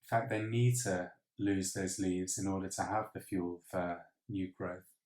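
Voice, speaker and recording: A man leading a guided meditation in a measured, reflective way with pauses. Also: low-pitched, steady tone, a little mellow. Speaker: meditation teacher. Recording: roomy, echoing sound.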